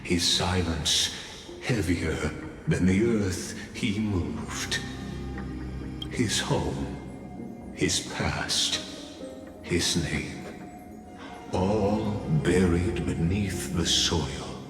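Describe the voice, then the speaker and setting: A man acting as the solemn narrator of an epic game legend, speaking in a grave, stately, measured way. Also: low-pitched. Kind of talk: scripted dramatic storytelling narration. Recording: long hall reverb, music underneath.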